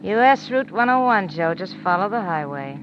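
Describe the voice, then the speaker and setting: An actress playing a cool, threatening young femme fatale with clipped, mocking delivery, in 1950s radio thriller style. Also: female voice, mid-range voice, expressive, moderate pace, lightly acted. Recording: muffled, noisy 1950s radio recording.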